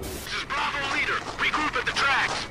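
An actor playing a tense male police squad leader in a video game, making clipped, forceful radio calls. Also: extremely high pitch, fairly even intonation, moderate pace, noisy background. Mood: urgent.

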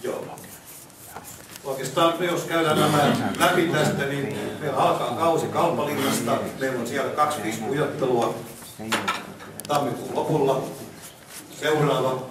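A man presents informally in a very echoey room. He is picked up from a distance, with clear noise around him. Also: medium pitch, fairly even intonation, pauses often. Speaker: presenter at a club meeting. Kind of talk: informal presentation of a competition calendar. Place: indoor meeting room, camera in the audience. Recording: echoey meeting room, distant mic, noisy.

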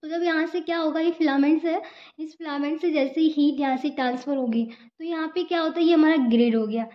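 A woman's voice: high voice, steady tone, fast. A young woman giving an informal step-by-step lecture, repeating herself and answering her own questions. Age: young adult. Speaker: physiotherapy student giving a lesson. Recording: echoey room, dull lo-fi sound.

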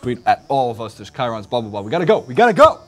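A young American man hosts a sports panel show at a quick clip. His voice sits at an average pitch for a man, picked up on a lapel mic at a studio desk. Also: very animated, soft background music. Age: young adult.

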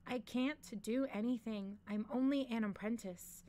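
A woman's voice: fairly high pitch, a little slow, mellow, lightly acted. A woman voicing an earnest, defiant young apprentice sorceress in a fantasy story, speaking emphatically. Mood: protesting.

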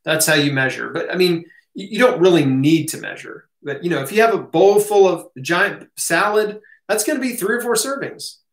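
An American man with a fairly high voice talks casually to camera in a home office. The room adds a clear echo. He hosts a Q&A, giving opinionated answers in colloquial language. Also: lively intonation, moderate pace, hardly any pauses. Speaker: host answering audience questions. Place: small home office, indoors.

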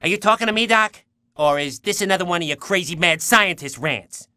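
Sharp, high-pitched sarcastic male sidekick. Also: expressive, a little fast, no room sound, clean studio sound, heavily acted. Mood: mocking. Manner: sarcastic, mocking. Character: sarcastic male test subject of a mad scientist. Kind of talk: video game cutscene acting.

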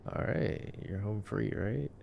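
Low, gravelly man in casual ASMR chat. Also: slow pace, lo-fi sound, slight background noise. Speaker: ASMR roleplay voice actor playing a boyfriend. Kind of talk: ASMR sleep-aid movie commentary, casual chat.

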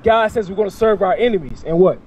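A man's voice: high voice, animated, fast, darkish tone. A young man street preaching outdoors into a handheld mic, emphatic and questioning, often repeating words. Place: outdoors by a parking lot.

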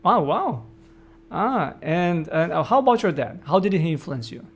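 A middle-aged man hosting a podcast interview, reading an introduction in a measured, friendly way from his home office. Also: on the high side, very animated. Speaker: podcast host.

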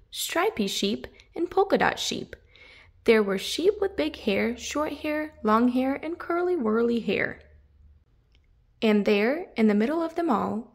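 A woman's voice: a little high, husky. A woman reading a children's picture book aloud, expressively, drawing out the descriptive words for emphasis. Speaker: children's story narrator.

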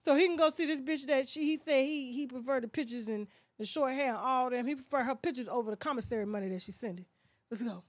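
A woman in her thirties or forties gives sassy gossip commentary in a high voice. She is blunt and dramatic, with a mocking edge, and speaks close to a studio microphone. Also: fast. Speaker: gossip show host. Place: small indoor studio set. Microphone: stand microphone up close.

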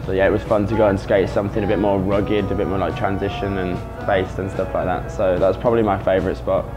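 A man's voice: low voice, little pitch movement, fast talker, music in the background. A man in his late twenties from England with an English accent, chatting casually in an interview. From Birmingham, England. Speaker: skateboarder being interviewed.

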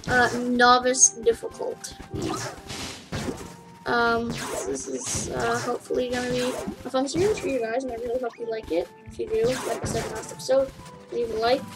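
A woman casually narrating her play of a game for viewers, rambling and chatty, with giggles and excited yelps. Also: fairly high pitch, normal speed, poor audio. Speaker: gaming YouTuber. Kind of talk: casual let's play commentary. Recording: game music under the voice.

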